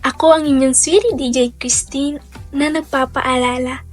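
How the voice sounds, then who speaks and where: High-voiced woman radio DJ. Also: fairly even intonation, moderate pace. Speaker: radio DJ and host. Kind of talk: scripted radio presenting. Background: music.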